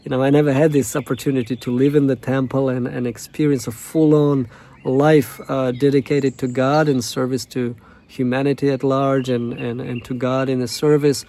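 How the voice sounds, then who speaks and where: Soft-voiced man with a tongue. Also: medium pitch, level tone. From Russia, Novosibirsk. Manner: halting, many fillers and restarts. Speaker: coach. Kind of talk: casual self-introduction monologue.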